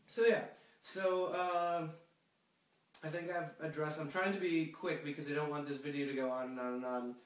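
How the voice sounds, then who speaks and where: A sniffly young man rambles along casually, full of ums and restarts. His voice sits a little back from the mic in a roomy, echoing space. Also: on the high side. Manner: rambling, chatty, many fillers and restarts. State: sniffly, coming down with a cold. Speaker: pen pal video blogger. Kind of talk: casual video letter to a friend. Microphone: phone camera. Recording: echoey room, a bit far from the mic.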